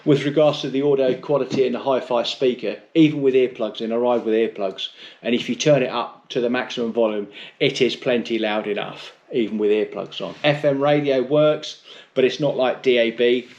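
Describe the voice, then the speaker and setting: A man's voice: mid-range voice, highs cut off. A middle-aged British man giving a casual, unscripted product review in a blunt, chatty, rambling way with mild swearing. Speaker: motorcycle vlogger reviewing gear.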